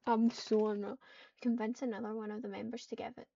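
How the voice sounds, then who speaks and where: A woman's voice: on the high side, little pitch movement, a little fast, warm tone. A woman casually voicing online game roleplay, reading out narration and dialogue lines. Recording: dull mic, distant, clear background noise.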